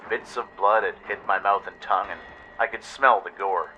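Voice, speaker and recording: A man's voice: lowish voice, normal speed, pushed and bright, faint music behind, low-quality recording. A man narrating a scripted adventure story dramatically, as in a radio play. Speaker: narrator and radio show host.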